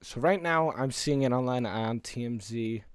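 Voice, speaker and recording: An American man co-hosting a podcast, chatting casually and haltingly, often repeating himself. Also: medium pitch, crowd noise. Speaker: podcast co-host. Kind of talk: casual podcast chat about news and games.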